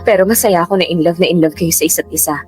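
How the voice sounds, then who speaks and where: An actress playing a guarded, sensitive young Filipino woman in a radio drama, emphatic and quick to scold. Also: lowish voice, a little mellow, slightly rough. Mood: friendly, cautioning. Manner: emphatic, nagging. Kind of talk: Tagalog radio drama acting. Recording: music bed under dialogue.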